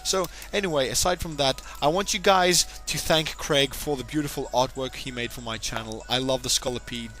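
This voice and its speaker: Excitable young man commentating a game battle. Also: mid-range voice, a little fast, few pauses. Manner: excitable, addresses "ladies and gentlemen", jokey asides. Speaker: gaming commentator. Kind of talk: Let's Play battle commentary. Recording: music playing behind the voice.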